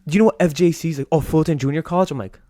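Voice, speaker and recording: A young man hosting a podcast, teasing his guest in casual chat with quick interjections. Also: a little high, warm tone, no room sound, studio quality. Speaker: podcast host.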